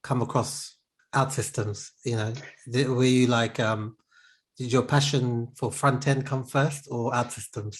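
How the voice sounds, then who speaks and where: A man's voice: medium pitch, measured pace. A man hosting a podcast, asking casual questions with lots of fillers. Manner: relaxed, filler-heavy questioning. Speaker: podcast host.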